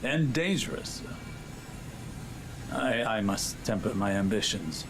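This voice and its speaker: Casting: cautious, grave game scholar, measured and slightly hesitant, music underneath. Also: male voice, on the low side, animated. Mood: grave, earnest. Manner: measured, formal, hesitant in places. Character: grave scholarly male sage, cautious and earnest. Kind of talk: dramatic game cutscene monologue.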